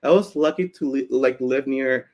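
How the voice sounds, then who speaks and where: A man's voice: mid-range voice, normal speed, darkish tone. A man from Peru whose first language is Spanish speaks in a middle-range voice. He presents informally, telling personal stories in a loose, conversational manner with frequent casual filler words. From Cusco, Peru. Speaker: speaker, community founder presenting.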